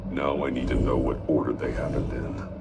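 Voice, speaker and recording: An actor playing a stern masked vigilante detective in a video game, speaking in a terse, deliberate, analytical way with a gravelly growl. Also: male voice, extremely low pitch, little pitch movement, far from the mic, loud music behind the voice. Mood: grim, analytical.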